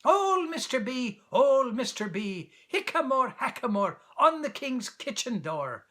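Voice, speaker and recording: A man's voice: very high voice, big pitch swings, a little slow, fairly bright tone, pitched above the performer's own voice, full character voice. A man voicing a cheeky, impertinent young squirrel from a storybook, chanting taunting rhymes in a sing-song way.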